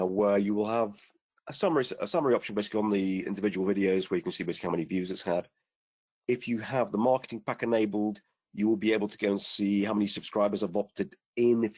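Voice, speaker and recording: A man demonstrating software on a webinar call, explaining at an easy pace with many fillers, his voice going hoarse. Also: fairly low pitch. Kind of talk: screen-share software demo.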